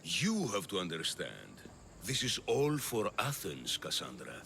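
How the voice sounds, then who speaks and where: An actor playing a scheming, self-righteous political demagogue in a video game, gravelly and theatrical, with a condescending manner. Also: male voice, fairly low pitch, wildly swooping pitch, a little slow, sharp tone, a little hiss or hum, full character voice. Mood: self-justifying. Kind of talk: video game cutscene acting.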